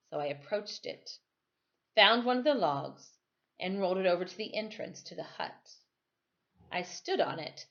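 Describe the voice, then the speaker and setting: Expressive woman storyteller, lively pitch, dull recording. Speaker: storyteller reading a novel aloud. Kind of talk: expressive read-aloud narration for students. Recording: dull, lo-fi sound.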